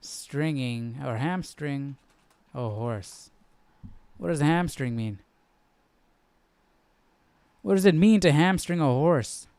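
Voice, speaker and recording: Smooth, casual young man, dry sound. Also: a little high, expressive, a little mellow, dry recording. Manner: informal, halting, musing aloud. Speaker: host. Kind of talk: casual live Bible study commentary.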